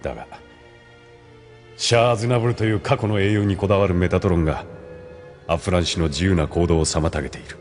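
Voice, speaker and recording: A grave, authoritative man , played by a male actor, with a very low voice. He lectures formally and deliberately, in the measured style of audio drama, over faint music. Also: darkish tone, natural voice. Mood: solemn, didactic. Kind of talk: Japanese audio drama acting.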